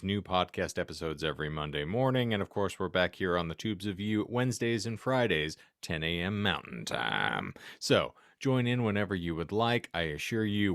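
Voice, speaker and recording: Sardonic low-voiced man, very animated. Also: dry recording, clean studio sound. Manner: sardonic, mock-formal wording. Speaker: podcast host. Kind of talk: casual comedic hosting.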